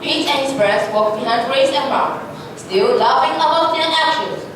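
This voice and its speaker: A woman's voice: high-pitched, normal speed. A woman narrating a scripted story as part of a reader's theatre performance. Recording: very echoey room, distant mic, clear noise.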